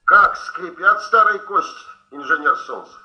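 An actor playing a brooding, obsessive middle-aged painter in a radio drama, speaking in emphatic broken phrases. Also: male voice, on the high side, lively intonation, a little slow, reverberant, highs cut off. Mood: playful, secretive. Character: brooding, obsessive painter, bantering old friend of middle age. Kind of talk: Soviet radio drama acting.

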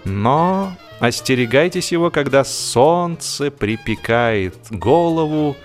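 A man's voice: medium pitch, expressive, takes it slowly, faint music behind. A man reading humorous fiction as an audiobook narrator, with theatrical, wry delivery and varied emphasis.

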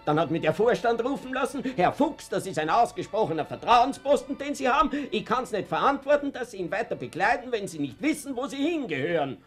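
A man's voice: sits high, big pitch swings, fairly quick, hardly any pauses, breathy edge, faint music behind, exaggerated voice. An actor with an Austrian accent playing an anxious, guilt-ridden middle-aged father and reluctant party member in a radio drama, speaking in a hesitant, colloquial way. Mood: agitated, fearful. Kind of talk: naturalistic radio drama acting.

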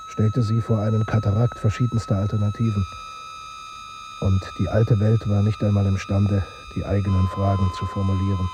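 A grave male essayist in a radio play. His voice is on the low side, formal and measured. Clear noise and hum sit behind it.

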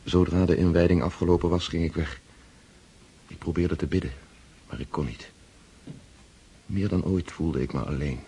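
An actor playing a brooding, tormented count who narrates his inner doubts in a radio drama, sombre and measured. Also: low voice, fairly even intonation, mellow, breathy edge, full character voice. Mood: brooding, bitter.

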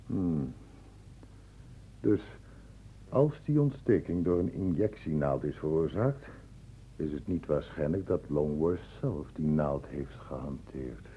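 An actor playing a persistent male doctor investigating an infection in a Dutch radio drama, questioning deliberately. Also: extremely low pitch, expressive, warm tone, slight background noise, heavily acted, poor audio. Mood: pensive, probing.

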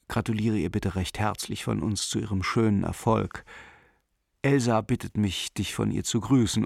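Radio play: sentimental art dealer in his forties, gravelly, close and dry, confiding letter to a friend. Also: lowish voice, no room sound. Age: 40s. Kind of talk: radio drama letter reading.

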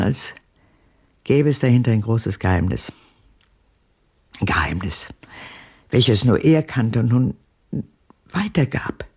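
A woman in her eighties, weary and guarded, in a radio drama. Her voice is husky and cracked with fry, swinging widely in pitch and often sinking to a breath as she broods over her past. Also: mellow, heavily acted. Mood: wistful, secretive. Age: 80s. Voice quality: husky, breathy, dropping to whispers. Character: world-weary, evasive eighty-year-old woman recalling her past.

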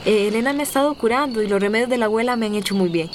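A woman's voice: on the high side, very fast, lightly acted. A woman playing an earnest, troubled young migrant woman in a radio soap opera. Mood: shy, troubled. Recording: noisy, insects and chimes behind.

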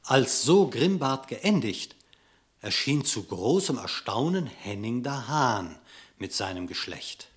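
A man narrating a literary verse tale for a radio drama, in a measured, solemn storytelling manner. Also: medium pitch, very animated. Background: plucked guitar music.

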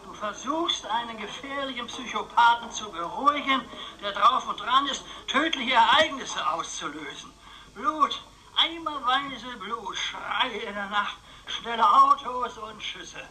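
A man's voice: extremely high pitch, lively intonation, a little slow, pushed and bright, slight background noise, full character voice, poor audio. A male actor playing an eccentric old uncle in a radio play, theatrical, rambling and needling, styling himself a dangerous psychopath. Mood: dramatic, excited. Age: older.

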